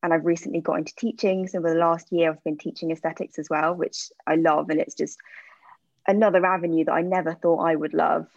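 A woman's voice: low-pitched, flat delivery, fast talker, creaky, no echo. A woman, chatting candidly in an interview with frequent fillers. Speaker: interview guest, aesthetic practitioner. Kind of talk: casual interview answers. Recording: dull lo-fi sound.

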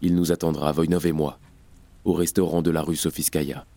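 An actor playing a stern, doubting revolutionary in a radio play, sober and severe. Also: male voice, rumbling low, little pitch movement, moderate pace, dark tone, no room sound. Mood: sober, conspiratorial. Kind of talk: French radio play acting.

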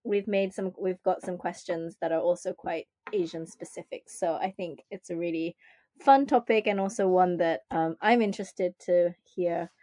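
A woman hosting a relaxed conversation, chatty and quick to laugh, with "like" scattered through her talk. Her first language was Japanese. The sound is dry and close, with almost no room echo. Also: on the low side. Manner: chatty, many "like" fillers, laughs often. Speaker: podcast host. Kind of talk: casual chat.

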